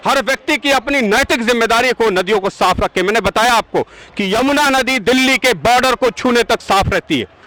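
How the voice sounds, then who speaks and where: A man from Azamgarh, India, speaking as a campaign organizer in a street interview at a busy road junction, arguing forcefully and firing rhetorical, indignant questions. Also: very high-pitched, brisk, hardly any pauses, rough recording.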